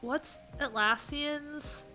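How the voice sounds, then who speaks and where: A woman thinking aloud in a casual, unscripted tech livestream, informal and halting, often trailing off mid-thought. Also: high-pitched, bright, forceful tone, soft background music, poor audio. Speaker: livestream host, web developer.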